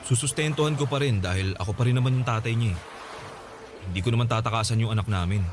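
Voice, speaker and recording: A man's voice: mid-range voice, fast, background noise, lightly acted. An actor playing a remorseful, lovelorn young man in a radio drama, pleading earnestly and hesitantly. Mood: pleading, apologetic.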